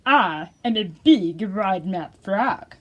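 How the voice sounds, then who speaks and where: Woman voicing a brash, loud wide-mouthed frog, drawn-out words. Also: mid-range voice, animated. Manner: loud, drawn-out, shouting questions. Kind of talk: children's storytime puppet voice.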